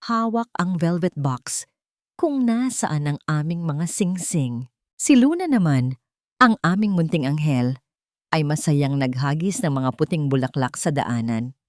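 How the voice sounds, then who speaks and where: A woman's voice: sits low, expressive, a little slow, no echo, clean studio sound. A woman reading a scripted first-person romance story as a dramatic narrator.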